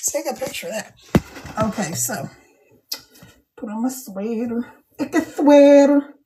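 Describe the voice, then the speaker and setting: An older woman with a twang talks in a high, scratchy voice, hoarse from hours of talking. She chats in an easygoing, folksy way, calling her viewers "y'all." She takes her time, speaking slowly and unhurriedly. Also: darkish tone. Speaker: live streamer. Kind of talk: casual live-stream chat. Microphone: phone.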